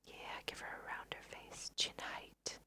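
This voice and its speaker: A woman whispering chatty ASMR game commentary, thinking aloud in a breathy, self-amused way into a close microphone. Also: a little slow, bright, forceful tone. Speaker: host of a gaming let's play.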